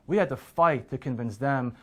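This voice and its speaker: A man's voice: medium pitch, moderate pace, fairly bright tone. Earnest man speaking to camera. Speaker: Army veteran. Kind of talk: testimony to camera.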